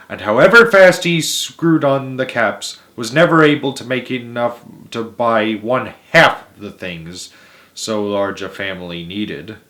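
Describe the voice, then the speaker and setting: A man narrating a children's storybook aloud in a theatrical, emphatic way, with dramatic pauses and stretched words. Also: medium pitch, fairly bright tone. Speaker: host of a read-aloud story series.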